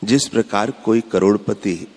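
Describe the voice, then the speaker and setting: A man giving a measured, instructive spiritual discourse, repeating his points. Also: lowish voice, darkish tone. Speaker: spiritual speaker. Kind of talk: slow devotional discourse. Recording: long hall reverb.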